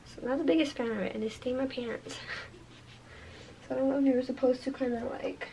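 A young woman in her twenties, a bit hoarse from losing her voice, chatting casually as she unboxes and reviews makeup at home, filling her talk with "like" and "you guys". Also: high voice, fairly even intonation, brisk, warm tone, a little hiss or hum, rough recording. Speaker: beauty vlogger. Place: bedroom with makeup shelves, indoors.

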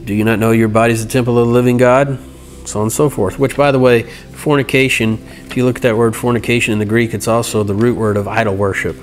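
A middle-aged man teaching from scripture in an earnest, halting way, reading passages and explaining them. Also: medium pitch, level tone, soft background music. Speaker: Bible teacher. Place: indoor room by a large window. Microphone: lapel mic.

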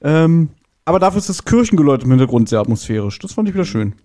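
A man's voice: on the high side, big pitch swings, quick pace, dark tone. A man speaking as a guest in a casual, conversational podcast review.

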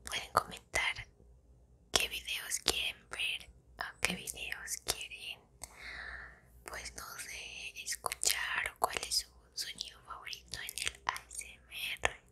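A woman in her twenties with a Mexican accent speaks in a soft, breathy, intimate whisper, chatting casually in ASMR style close to the microphone. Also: extremely low pitch, monotone. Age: 20s. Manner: soft-spoken, intimate, unhurried. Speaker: ASMR video creator. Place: small indoor room at home.

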